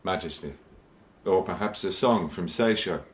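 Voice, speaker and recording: An actor playing a proud, sly, suave courtier and poet in a radio drama, with a smooth, deliberate, courtly manner. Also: male voice, deep voice, a little hiss or hum, natural voice. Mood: dry.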